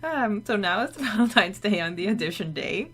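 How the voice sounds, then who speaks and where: A woman co-hosting a casual podcast, chatting and recapping a story with a relaxed, talky manner. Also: mid-range voice, moderate pace, husky. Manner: chatty, recaps with many "like" fillers. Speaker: podcast co-host. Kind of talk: casual chat.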